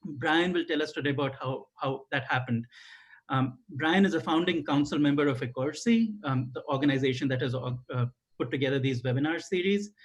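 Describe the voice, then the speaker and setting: Indian man, somewhat high voice, earnest webinar moderator on a video call, hesitant fillers. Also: a little fast, rough recording. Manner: earnest, personal, many fillers and restarts. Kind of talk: introducing a guest.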